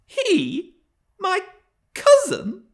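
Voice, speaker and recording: A man's voice: high voice, huge pitch swings, unhurried, pitched above the performer's own voice, heavily acted. A man voicing a spoiled, imperious young gentry girl in an audiobook story, haughty and demanding. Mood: scornful, distressed.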